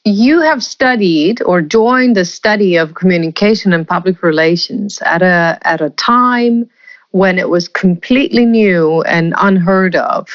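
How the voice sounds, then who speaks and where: A woman hosting an interview podcast, reading a scripted intro and then asking measured questions. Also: fairly low pitch, lively intonation, lo-fi sound. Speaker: podcast host.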